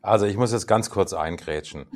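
Joking, low-voiced older man co-host. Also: fairly quick, a crowd in the background. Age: 60s. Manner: joking, playful, hesitant with fillers. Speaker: podcast co-host. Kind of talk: casual interview chat.

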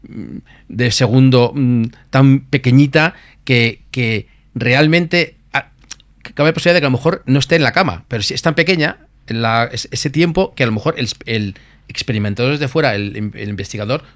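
A man from talks quickly and eagerly, piling on asides as he explains. His voice sits at a middle pitch. The sound is dry and close, with no room echo. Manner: fast, animated explaining with many asides. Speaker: guest, lucid dreaming expert. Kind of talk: podcast interview explanation.

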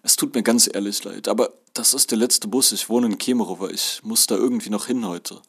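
A man's voice: lowish voice, a little fast, gravelly, a bit bright. A man voicing a polite young traveller in a story, earnest and pleading with growing exasperation. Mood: apologetic, pleading.

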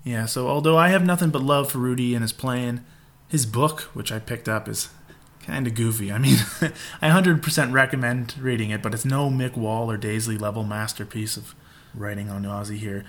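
A man's voice: mid-range voice, normal speed. A man hosting a podcast in a casual monologue, irreverent and profane, with laughing asides. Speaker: podcast host.

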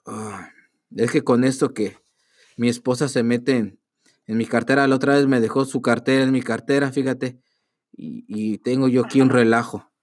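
A man's voice: medium pitch, steady tone, normal speed, darkish tone, lightly acted. A man improvising a flustered, bickering husband on a prank phone call, stalling, repeating himself and pleading melodramatically. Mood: rambling. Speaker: podcast host making a prank call.

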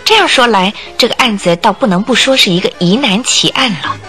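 A woman's voice: a little high, expressive, a little fast, sharp tone, faint music behind. A woman narrator telling a scripted radio drama story in a vivid, emphatic way.

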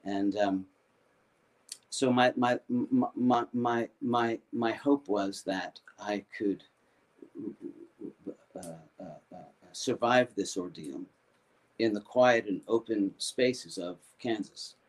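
Older man, low voice, halting storyteller, many restarts. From United States. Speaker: foundation founder. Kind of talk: personal storytelling.

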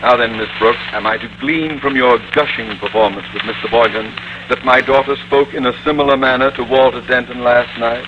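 An actor playing a pompous, blustering middle-aged male high school principal in a theatrical 1950s radio sitcom style. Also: fairly even intonation, brisk, highs cut off, natural voice. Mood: scheming, stern. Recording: noisy old-time radio recording.

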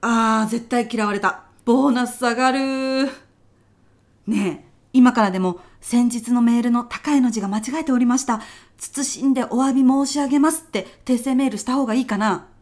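A woman plays a female senior office worker in a comic radio drama, pitched notably high. She frets over small things and bosses her junior about. Her wording turns blunt and rough whenever she is challenged. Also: normal speed, darkish tone, natural voice. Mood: anxious, pushy. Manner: blunt, pushy, rough-mouthed when challenged. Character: bossy, fretful female senior office worker with petty worries. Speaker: voice actor in a comedy radio drama. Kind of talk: short comedic radio drama.

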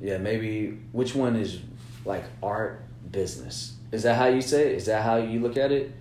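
A man with a lowish voice talks casually and at length, stumbling over repeated words and circling back to his points. The room around him is echoey, with a faint hum and background noise. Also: normal speed, darkish tone. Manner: rambling, repeats words, frequent "you know what I'm saying". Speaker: business-minded artist partner. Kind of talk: casual planning chat.

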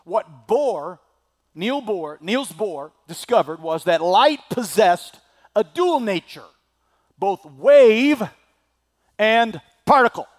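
A male preacher with a slightly scratchy throat, delivering a sermon that swings from loud declaiming to quiet asides. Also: high-pitched, lively intonation, slow pace.

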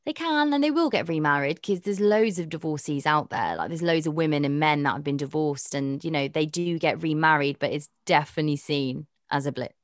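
A woman's voice: low-pitched, lively intonation, a little fast, hardly any pauses, dry recording, clean studio sound. A young woman from Pakistan, a media presenter, talking frankly and chattily in an interview, thinking aloud with fillers. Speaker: presenter and dating podcast host, guest. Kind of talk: candid interview conversation.

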